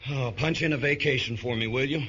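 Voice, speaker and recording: An actor playing a desperate accused scientist in a science fiction radio drama, speaking with urgent, halting emotion. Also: male voice, medium pitch, a little hiss or hum, close to an everyday voice. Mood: tired relief. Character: desperate accused male scientist insisting on his innocence. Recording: dull radio play recording under loud music.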